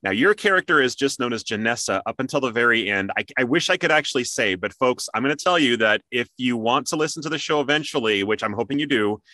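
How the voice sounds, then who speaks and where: Guy doing a chatty interview show, bright crisp-edged voice, really dry sound. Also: medium pitch, expressive, fast, hardly any pauses, no room sound. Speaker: interview host and amateur voice actor. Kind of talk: casual interview chat.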